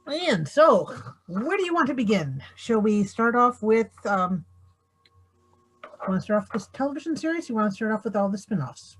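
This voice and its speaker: A woman's voice: mid-range voice, poor audio. A woman moderating an online panel discussion, introducing and prompting the panelists.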